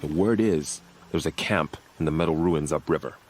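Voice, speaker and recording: Super deep voiced warrior on gate duty, grave and dutiful, game dialogue, bit of music behind. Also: male voice, a little mellow. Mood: somber, earnest. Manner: measured, earnest, grave. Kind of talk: video game voice acting.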